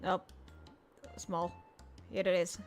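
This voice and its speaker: A woman's voice: fairly low pitch, monotone, soft background music. A young woman streamer reading through a game story aloud, with playful, joking asides. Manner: playful, jokey, quick asides. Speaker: VTuber streamer. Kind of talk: casual game readalong commentary.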